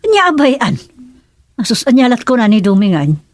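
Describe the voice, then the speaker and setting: A woman's voice: fairly high pitch, sing-song, moderate pace, no room sound. An actress playing a scolding, suspicious middle-aged mother with a Filipino Ilocano accent, nagging and exclaiming in a radio comedy drama. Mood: indignant.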